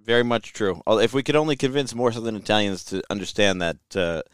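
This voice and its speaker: A man's voice: fairly low pitch, fast, smooth, no echo. A man from Brooklyn with a New York accent, hosting and asking conversational questions. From United States. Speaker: podcast host. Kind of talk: interview conversation. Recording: dry close sound.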